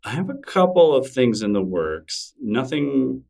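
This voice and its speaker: A man's voice: mid-range voice, big pitch swings, a little slow, gravelly, darkish tone, far from the mic. A middle-aged man, a guest in an interview, talking in a thoughtful, rambling way with many fillers and restarts. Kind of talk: casual interview conversation.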